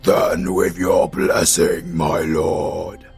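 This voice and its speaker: A man playing a proud yet servile goblin king with a gravelly rasp and grandiose, theatrical delivery in a fantasy audio drama. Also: low voice, level tone, extremely slow, darkish tone, faint music behind, full character voice. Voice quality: gravelly, rasping. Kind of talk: fantasy audio roleplay voice acting.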